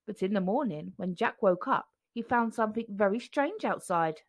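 A woman reading a children's storybook aloud with expressive narration. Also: mid-range voice, moderate pace.

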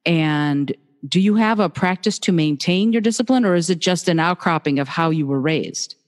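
A woman's voice: lowish voice, animated, normal speed, long reverb tail. A woman born in Moldova, hosting a podcast and chatting casually with her guest. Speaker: podcast host. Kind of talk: casual interview.

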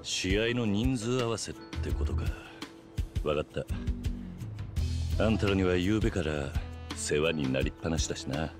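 Very deep-voiced stoic tough guy. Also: lively intonation, normal speed, long pauses, over music. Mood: calm. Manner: terse, gruff, restrained. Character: stoic, weary middle-aged tough guy hero. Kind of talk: video game cutscene acting.

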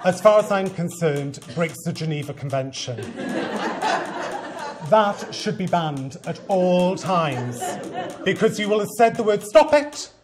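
A man's voice: high-pitched, big pitch swings, unhurried, a crowd in the background. A Welsh man in his forties, a head teacher speaking at a conference to a room of teachers, theatrical and teasing, acting out quoted voices. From Wales. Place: conference training room with seated audience.

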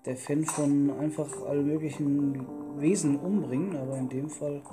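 A man's voice: on the high side, steady tone, normal speed. Man chatting casually over game music. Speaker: let's play gamer. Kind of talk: casual gameplay chat. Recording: instrumental music behind the voice. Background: instrumental music.

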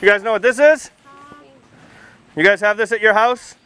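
A man's voice: sits high, moderate pace, background noise. An American man, a firefighter, giving an informal outdoor demonstration to young children in a projecting, folksy manner with simple questions. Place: outdoors, under a tent and beside a fire truck.

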